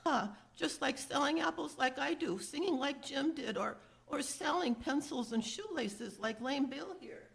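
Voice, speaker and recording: A woman playing a stubborn, streetwise apple seller in a 1930s radio drama, with folksy, emphatic speech and a gravelly, husky voice. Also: sits high, animated, unhurried, few pauses, full character voice. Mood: indignant, resolute. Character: feisty streetwise apple-selling beggar woman, stubborn, rallying.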